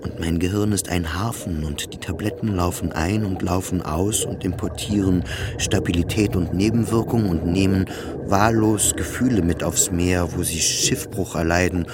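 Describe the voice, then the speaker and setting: A man's voice: deep voice, normal speed, background noise, lightly acted. A man playing a depressed, anxious, self-loathing psychiatric patient who narrates in a confessional radio drama. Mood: weary, resigned. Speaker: narrator of an autobiographical radio play. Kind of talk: scripted first-person radio drama narration. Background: music behind the voice.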